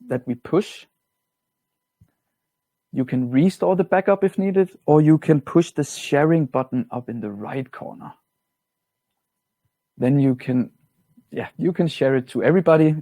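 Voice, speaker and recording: Man with a Danish accent, mellow and dry. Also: mid-range voice, dry recording. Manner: relaxed, plain-spoken, slightly non-native grammar. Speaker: product trainer. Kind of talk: webinar software walkthrough.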